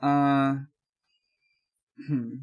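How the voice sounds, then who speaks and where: A podcast host speaking casually and haltingly, in chat and reading fanfiction aloud. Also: medium pitch, level tone, smooth. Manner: hesitant, halting.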